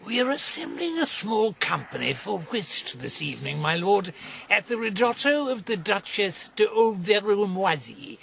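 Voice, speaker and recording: An actor playing a deferential, ingratiating courtier in a radio drama, with a creaky voice and polite manner. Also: male voice, high voice, big pitch swings, normal speed, fairly bright tone, slight background noise, exaggerated voice. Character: courteous, ingratiating male courtier delivering invitations.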